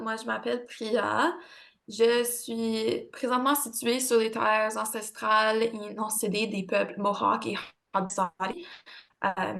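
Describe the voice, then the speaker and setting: A woman cohosts an online workshop as its francophone facilitator. She speaks in a chatty, relaxed way. Her pitch stays level and even. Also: vocal fry. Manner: chatty and relaxed, with conversational fillers. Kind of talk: casual introduction on a video call. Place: online video call.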